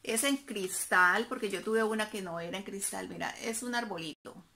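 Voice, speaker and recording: A woman's voice: medium pitch, moderate pace, vocal fry, bright, forceful tone. A woman, chatting casually to the camera from her living room as she shows off things she bought, fond of little diminutives. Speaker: vlogger. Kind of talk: casual haul chat. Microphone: camera in front, seated close.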